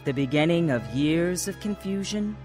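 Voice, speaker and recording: A woman's voice: fairly low pitch, lively intonation, normal speed, loud music behind the voice, close to an everyday voice. An actress playing a troubled adult daughter who tells her own story in a radio drama. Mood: somber, reflective. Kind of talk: radio drama narration and acting.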